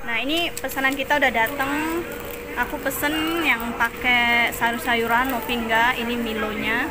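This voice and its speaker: A woman's voice: a little high, steady tone, moderate pace. A young woman chatting playfully to her phone camera as she vlogs her day on trains and in malls. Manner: chatty, playful, often laughing. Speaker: vlogger. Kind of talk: casual vlog chat. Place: moving between trains, stations and malls. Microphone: handheld phone camera. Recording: busy crowd and loud transit noise. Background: crowds, trains and street noise.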